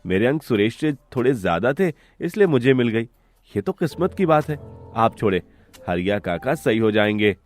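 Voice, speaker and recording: A man's voice: mid-range voice, sing-song, moderate pace, soft background music, close to an everyday voice. A man voicing a studious, trusting young village son who speaks politely and earnestly in a cartoon story. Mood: calm, reassuring.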